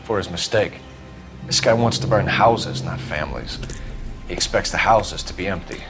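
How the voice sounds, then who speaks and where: A man's voice: low voice, moderate pace, over music. An actor playing a terse, brooding American detective in his thirties in a 1940s crime video game. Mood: certain, brooding. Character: intense, cerebral young male 1940s police detective.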